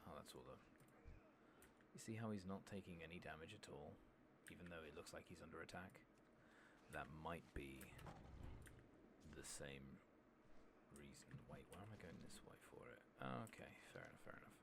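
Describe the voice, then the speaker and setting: A man giving relaxed, soft-spoken ASMR commentary over a video game, murmuring casually and sometimes dropping toward a whisper. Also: rumbling low, moderate pace. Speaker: host of a gaming ASMR channel. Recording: loud steady background noise and ambience.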